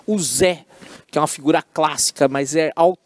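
A man with a slightly high voice leads the chat, upbeat and full of slang, praising everyone he mentions. His questions come in a relaxed, friendly flow. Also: normal speed, dry recording. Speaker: interviewer. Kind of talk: casual conversation.

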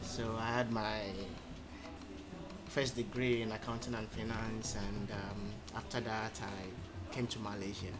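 A man's voice: fairly low pitch, level tone, loud music behind the voice. Thoughtful man telling his story. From Nigeria. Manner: thoughtful, measured, with frequent um pauses. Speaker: interview guest. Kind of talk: casual conversational storytelling.

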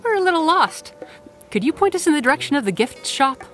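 A woman's voice: sits high, very animated, fast, fairly bright tone, faint music behind. A woman playing a mischievous witch in an audio comedy, with a breezy, teasing delivery. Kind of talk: audio theater comedy acting.

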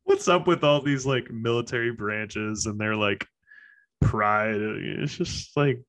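A man's voice: medium pitch, takes it slowly, a bit bright. A man in a casual conversation, joking loosely and leaning on "like" as filler. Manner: loose, joking, says "like" a lot. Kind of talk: casual chat.

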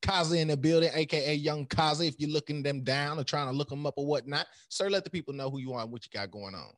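A man's voice: on the high side, fast, fairly bright tone, no echo, clean studio sound. A man hosting a casual podcast chat, slangy, prompting and reacting in a colloquial way. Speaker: host.

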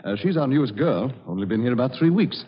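An actor playing a polite, obliging workplace manager with a hesitant, deferential manner in a 1940s radio drama. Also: male voice, medium pitch, lively intonation, fast talker, slightly rough. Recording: dull, noisy old radio broadcast.

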